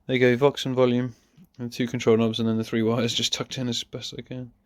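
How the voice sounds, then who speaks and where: A man's voice: medium pitch, level tone, a little fast, dry recording, clean studio sound. A man talking casually and off the cuff as he presents a hands-on DIY demonstration, informal and self-deprecating. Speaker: car hobbyist presenting a DIY video.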